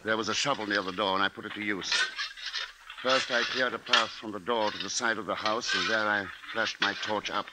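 A male actor plays a resolute family man in a fifties radio play. His low voice tells the tale in measured, literary phrases and stays grave. The old broadcast recording is clearly noisy. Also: steady tone, close to an everyday voice. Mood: grave, brooding. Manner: measured, dramatic, literary narration. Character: grave, resolute husband and father narrating a bird siege. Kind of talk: 1950s radio drama acting and narration.

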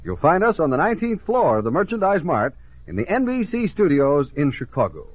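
Lively American male announcer, muffled old radio. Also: on the high side, fairly quick, no room sound. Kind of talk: 1950s children's radio announcing. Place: radio studio.

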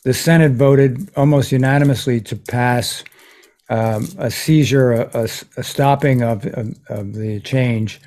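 Guy improv performer just chatting and joking around, average pitch. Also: measured pace, darkish tone. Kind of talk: casual joking chat.

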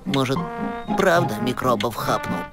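A man's voice: medium pitch, lively intonation, a little slow, music in the background, natural voice. A man voicing a timid, anxious young male hedgehog in a cartoon, meek and hesitant in his speech. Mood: ailing, plaintive. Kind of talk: animated cartoon dialogue.

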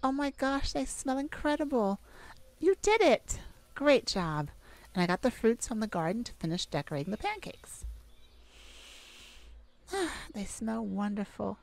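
A woman playing a caring, affectionate mage gardener in a gentle ASMR roleplay audio drama. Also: fairly high pitch, very animated, measured pace, vocal fry, pauses often, heavily acted. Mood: cheerful, grateful. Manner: affectionate, gentle, full of endearments. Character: tender, caring mage gardener devoted to their werewolf mate.

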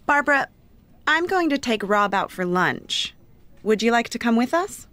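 A woman reading a scripted coursebook dialogue as a magazine colleague, clear and polite. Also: on the high side, big pitch swings, moderate pace, pushed and bright, no echo. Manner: clear, polite and matter-of-fact.

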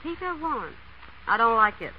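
An actress playing a spoiled, candid, headstrong young runaway heiress in a 1930s radio drama. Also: female voice, on the high side, sing-song, normal speed, slight background noise. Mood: drowsy, curious. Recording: muffled, dull 1930s radio broadcast.

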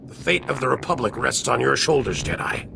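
An actor playing a grave, commanding Republic general in a video game, speaking with stern authority. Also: male voice, mid-range voice, big pitch swings, a little fast, vocal fry, background noise. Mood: stern, urgent. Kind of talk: video game cutscene acting.

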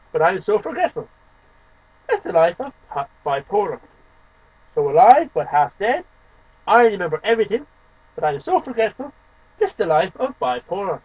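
High-pitched husky man reciting poetry. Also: dry recording. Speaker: amateur poetry reader and video host. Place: small home room lined with shelves, indoors. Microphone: webcam microphone a short distance away. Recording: dull lo-fi webcam sound.

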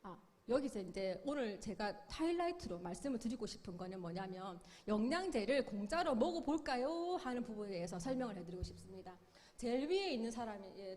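A woman's voice: mid-range voice, darkish tone, long reverb tail. A middle-aged woman giving a sales seminar lecture, upbeat, explaining with questions and acted-out quotes. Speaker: business seminar speaker.

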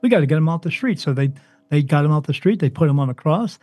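A man from New Jersey, a spirit medium interviewed as a guest, talking emphatically and insistently, his voice soothing and deep. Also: expressive, fast, mellow, no echo. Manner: emphatic, insistent, swings up in pitch when stressing points. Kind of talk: interview, impassioned preaching monologue.

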